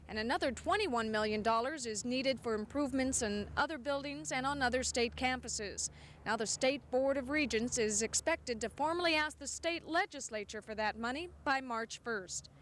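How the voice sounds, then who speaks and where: Woman doing an old 80s tv news report, kinda high voice, bit of background noise. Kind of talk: scripted news report narration. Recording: faint noise, archival 1980s TV broadcast.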